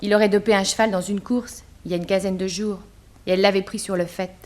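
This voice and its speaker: A woman's voice: medium pitch, steady tone, quick pace, darkish tone, lightly acted. A woman playing a frank, gossipy chambermaid in a 1960s French radio drama. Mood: startled, confiding. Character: gossipy young chambermaid, frank about her mistress.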